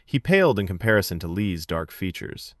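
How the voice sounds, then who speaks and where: A man narrating a scripted story in a measured, expressive audiobook style. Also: sits low, dry recording, studio quality. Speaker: fiction podcast narrator.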